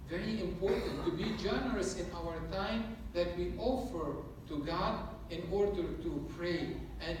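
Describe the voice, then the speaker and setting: Earnest priest preaching in an echoey church. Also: male voice, high-pitched, far from the mic, noisy background. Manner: earnest, repetitive preaching cadence. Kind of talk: sermon.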